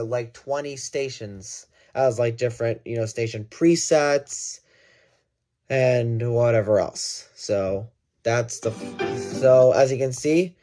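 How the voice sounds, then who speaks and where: A man's voice: mid-range voice, mellow. A man giving a casual hands-on gadget demonstration, thinking aloud and correcting himself as he goes. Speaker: product reviewer.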